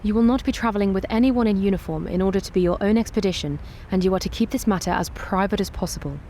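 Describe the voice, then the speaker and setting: A woman playing a guarded, commanding queen in a tabletop roleplay, speaking in a formal, measured way. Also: mid-range voice, warm tone, a little hiss or hum, natural voice. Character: composed, guarded, commanding young queen wary of rivals. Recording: music playing behind.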